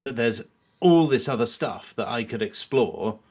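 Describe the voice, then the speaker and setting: A middle-aged man as a guest in casual podcast chat, halting and earnest as he thinks aloud. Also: mid-range voice, animated, darkish tone, slightly rough.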